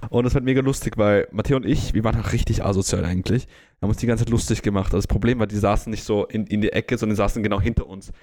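Young Austrian man, stumbling and animated. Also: on the low side, racing. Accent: Austrian German. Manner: animated, hesitant with fillers and stumbles. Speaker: podcast co-host. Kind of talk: casual chat.

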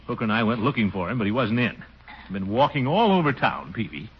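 An actor playing a pompous, blustering middle-aged bachelor in a 1940s radio sitcom, vain about his singing and quick to take offense. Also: very animated, close to an everyday voice. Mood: wheedling. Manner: blustery, indignant, with big swoops in pitch. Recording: dull old radio broadcast with faint hiss.

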